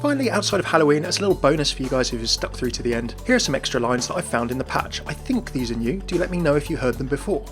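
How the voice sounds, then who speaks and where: Fast-talking, chatty male gaming host. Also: a little high, very animated, faint music behind. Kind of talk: scripted video commentary.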